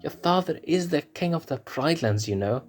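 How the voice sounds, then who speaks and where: A man playing a bashful young lion cub with a raised, boyish voice. He speaks hesitantly and gently, urging the sensible choice. Soft music sits behind the storybook reading. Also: fairly high pitch, expressive, normal speed, voice pushed up. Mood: pleading. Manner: hesitant, reasonable.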